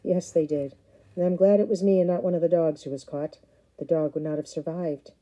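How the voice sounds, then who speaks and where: A woman reading as a calm northern herder. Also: a little high, a little fast, close to an everyday voice, rough recording. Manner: calm, steady.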